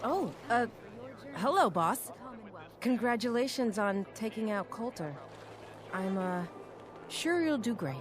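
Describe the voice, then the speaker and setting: An actress playing a nervous, deferential doctor among raiders in a video game, speaking hesitantly. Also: female voice, on the high side, very animated, a crowd in the background. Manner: hesitant, halting. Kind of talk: video game character dialogue.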